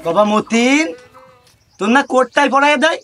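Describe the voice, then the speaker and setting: Man playing mocking rural bachelor, high voice, rapid delivery, comedy skit, music behind. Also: expressive. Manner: mocking, teasing. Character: teasing young bachelor villager spying on boastful husbands.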